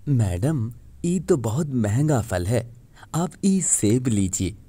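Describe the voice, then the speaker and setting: A man's voice: medium pitch, very animated, slow, mellow, slightly rough, dry recording, clean studio sound, full character voice. A man playing a cheeky fruit seller in a cartoon fairy tale. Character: cheeky male fruit seller flattering a customer.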